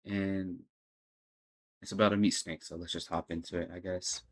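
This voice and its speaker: A man's voice: low-pitched, little pitch movement, normal speed. A man hosting a reaction video, giving casual off-the-cuff commentary as he watches.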